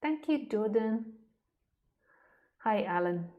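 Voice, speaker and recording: A woman's voice: fairly high pitch, lively intonation, slow, highs cut off. A woman speaking as a spiritual teacher in an informal question-and-answer talk, candid, plain-spoken and conversational.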